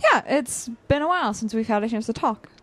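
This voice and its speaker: A woman's voice: fairly high pitch, expressive, a little fast, a bit bright. A woman playing a sarcastic young college student villain in a naturalistic audio drama, with a dry, teasing manner. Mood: wry. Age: young adult.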